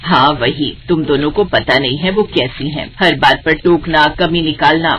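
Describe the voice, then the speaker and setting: A woman voicing an older mother-in-law in a family story, measured and advising in manner. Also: low-pitched, fairly bright tone, dull audio, a little hiss or hum, pitched below the performer's own voice. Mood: cautioning. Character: older mother-in-law, cautious, matter-of-fact household elder.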